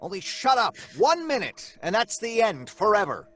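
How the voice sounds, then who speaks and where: A man's voice: sits high, huge pitch swings, moderate pace, faint music behind, exaggerated voice. A man playing a stern, exasperated father in an audio drama. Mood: harried. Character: George, stern, harried father.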